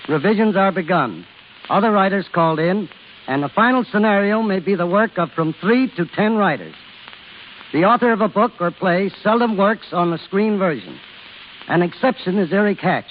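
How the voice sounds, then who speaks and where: A man giving a formal scripted talk as a guest executive on 1930s radio. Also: high voice. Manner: formal read delivery. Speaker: guest speaker, film studio executive. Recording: muffled, hissy old radio recording.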